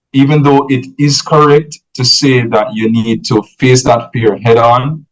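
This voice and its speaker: A man, a podcast guest giving measured, explanatory advice who often checks in with "right?". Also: mid-range voice, rough recording.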